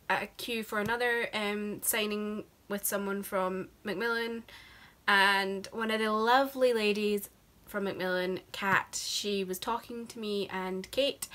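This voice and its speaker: A woman's voice: medium pitch, sharp tone. Nasal woman with a cold. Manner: chatty and rambling, many fillers. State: has a cold, sounds nasal. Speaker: book vlogger. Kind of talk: casual chat to camera.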